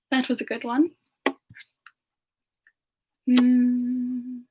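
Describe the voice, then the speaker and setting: A woman playing a playful, gentle female demon character, soft-spoken and giggly in a casual semi-ASMR live chat. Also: fairly high pitch, a little hiss or hum, poor audio. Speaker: streamer answering chat and taking song requests.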